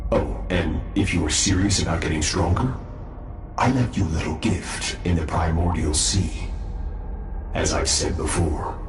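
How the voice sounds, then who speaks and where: A deep, echoing, aloof master warrior. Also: male voice. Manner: lofty, measured, formal. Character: powerful, self-assured, aloof master swordsman, adult man. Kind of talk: dramatic video game voice acting.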